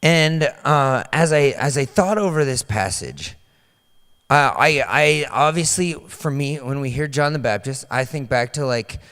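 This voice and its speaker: Young male pastor, casual sermon, slightly hoarse, smooth voice on a handheld mic in a reverberant hall. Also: medium pitch, measured pace, fairly bright tone. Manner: loose, chatty, many fillers and restarts. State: voice partly lost, slightly hoarse. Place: large dark stage in a church hall.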